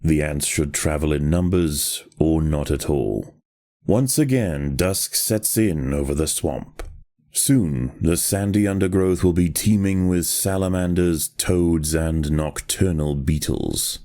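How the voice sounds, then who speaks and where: A man reading scripted nature documentary narration for a video game in a measured, deliberate, grave storytelling manner. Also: extremely low pitch, mellow.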